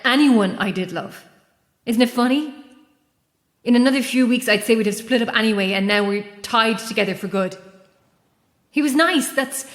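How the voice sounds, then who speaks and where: High-voiced haunted lighthouse keeper, played by an actress. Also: female voice, echoey room, in a large hall, natural voice. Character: anguished, defiant female lighthouse keeper, alone and guilt-ridden. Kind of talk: horror audio drama acting.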